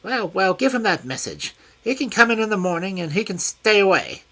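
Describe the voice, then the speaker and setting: A reader voicing a small, frail, petulant older man with a high, shrill, screaming voice and imperious, peevish outbursts. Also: big pitch swings, a little fast, fairly bright tone.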